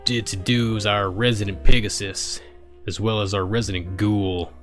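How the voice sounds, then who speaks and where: A man's voice: on the low side, fairly even intonation, normal speed, fairly bright tone, faint music behind, natural voice. A man voicing a welcoming, talkative small-town pony leader in a story reading. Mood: proud, friendly.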